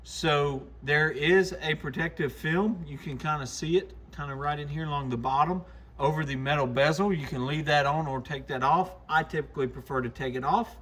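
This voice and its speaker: Male shop-owner host, bright forward tone, slightly high pitch, informal explanatory delivery for casual product videos. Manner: informal, plain-spoken, explanatory.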